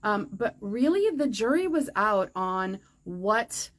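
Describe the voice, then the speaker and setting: A woman's voice: mid-range voice, big pitch swings, slow pace. A woman hosting a viewer-question show, chatting casually and upbeat, with frequent fillers. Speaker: host answering viewer questions. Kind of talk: casual chat to camera. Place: outdoor forest gazebo.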